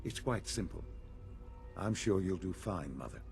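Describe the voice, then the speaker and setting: An actor playing a composed, authoritative leader in a video game, speaking formally and deliberately with understatement. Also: male voice, low voice, big pitch swings, creaky, darkish tone, faint music behind, exaggerated voice. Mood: commanding. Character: composed, authoritative male leader of a secretive institute.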